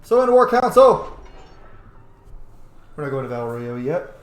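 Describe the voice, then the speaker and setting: A man's voice: fairly high pitch, sing-song, unhurried. A man giving casual, joking and sometimes profane commentary while playing a roleplaying game. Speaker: game player and host of a roleplay playthrough. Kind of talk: casual gameplay commentary, reading in-game messages aloud. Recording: roomy echo, faint background noise.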